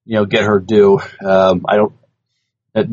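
A podcast co-host, a man with a fairly low voice, goes through news items in a casual chatty style. He can be a little halting. The sound is lo-fi, lacking crispness. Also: little pitch movement, a little mellow. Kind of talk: casual news reading and chat. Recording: dull, lo-fi podcast audio.

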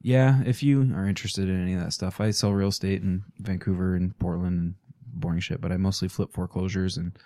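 A man's voice: low-pitched, fairly even intonation, brisk, warm tone, no echo. A man speaking, a podcast guest in casual, crude, joking chat in a small studio.

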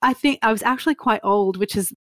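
A woman's voice: fairly high pitch, fast talker, no echo, clean studio sound. A woman in her fifties from Australia, with an Australian accent carrying a slight Canadian tinge, telling stories as an interview guest with lots of laughter and self-mockery. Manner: animated storyteller, laughs often, self-mocking. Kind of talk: casual interview chat.

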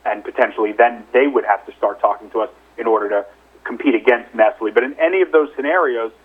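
A man's voice: mid-range voice, quick pace, breathy edge. A male chief executive answering questions on an investor call over the telephone, explaining things in a conversational, hedging way. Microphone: telephone conference call. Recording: narrow, muffled telephone line.

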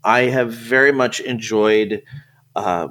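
A man's voice: lowish voice, slow pace, a bit bright. A man hosting a podcast in casual, conversational chat. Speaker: podcast host.

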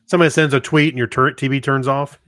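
A man's voice: mid-range voice, steady tone, fast talker, a bit bright, no room sound. Laid-back man co-hosting, dry sound. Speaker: podcast co-host. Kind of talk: casual chat.

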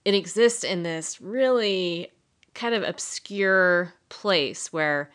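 A woman's voice: on the low side, slow pace, fairly bright tone, clean tone. A woman co-hosting a radio show in a conversational discussion style.

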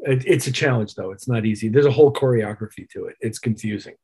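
A man's voice: fairly low pitch, fast, a little mellow, slightly rough. An older man, a musician guest, talking casually in an interview with a wry, emphatic, opinionated manner. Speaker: interview guest, keyboard player and producer.

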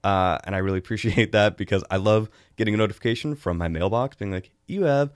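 A man's voice: on the low side, fairly even intonation, fast, clean tone, no room sound, clean studio sound. An American man hosting a review show, talking casually as he gives his take. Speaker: host of a film and book review show.

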